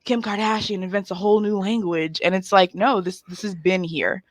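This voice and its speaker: A woman comedian born in Haiti, chatting as a podcast guest in an animated, joking way with many "um"s. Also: mid-range voice, a little fast, dry recording. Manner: animated, joking, lots of fillers like "um". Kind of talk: casual interview chat.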